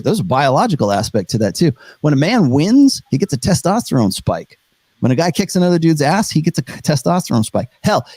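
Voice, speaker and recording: A man's voice: mid-range voice, darkish tone, slightly rough, no room sound. A middle-aged man, an author guest in a casual interview, explaining his ideas confidently and plainly.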